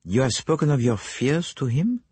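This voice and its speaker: Narrator playing a polite sleuth, formal and probing, husky, lively delivery. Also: male voice, medium pitch, moderate pace, pitched above the performer's own voice. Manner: formal, businesslike, probing questions. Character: courteous, precise foreign male detective, middle-aged.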